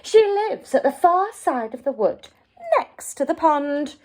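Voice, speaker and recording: Lady playing a cheeky little girl in a kids story, high voice, childish, swoopy. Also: big pitch swings, takes it slowly, a little mellow, heavily acted. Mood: sugary sweet. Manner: childlike, put-on sweetness or sulk.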